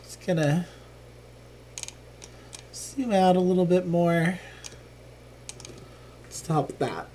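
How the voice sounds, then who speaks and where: Unhurried host with a lingering cough, chatty think-aloud game commentary, light room noise. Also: medium pitch, dark tone. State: lingering cough after illness. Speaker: live streamer. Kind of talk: casual live board game playthrough commentary.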